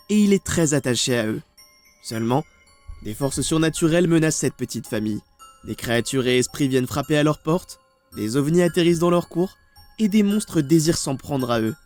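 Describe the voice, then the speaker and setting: A man's voice: fairly high pitch, a little mellow. A man giving scripted storytelling narration, articulate and dramatic, putting questions to the audience. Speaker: narrator of a video documentary series.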